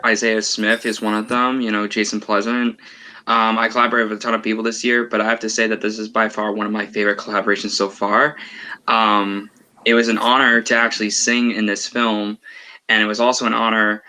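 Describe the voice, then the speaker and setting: A man's voice: on the low side, bright, forceful tone. A man speaking as a panelist in a casual group chat, somewhat hesitant and repeating phrases. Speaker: livestream panelist and amateur singer.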